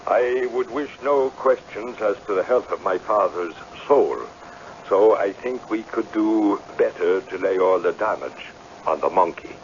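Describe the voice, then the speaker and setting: Guarded gentleman landowner, formal and authoritative, slightly low pitch, faint hiss on old radio drama. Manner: formal, commanding. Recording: muffled, faintly noisy radio broadcast.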